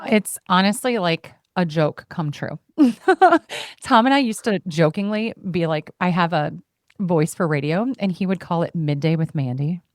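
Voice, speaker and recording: A woman's voice: lowish voice, normal speed, no room sound, studio quality. A woman in her thirties hosting a podcast, chatting casually and passionately, playful, putting on voices when she quotes her family. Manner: passionate and expressive, heart on sleeve. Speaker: podcast host. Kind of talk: casual conversation.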